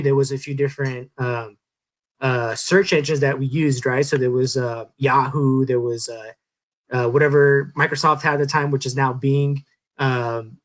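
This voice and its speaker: A man in his thirties co-hosting a podcast, explaining things in a relaxed, rambling way with many fillers. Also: mid-range voice. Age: 30s. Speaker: podcast co-host. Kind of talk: casual podcast chat.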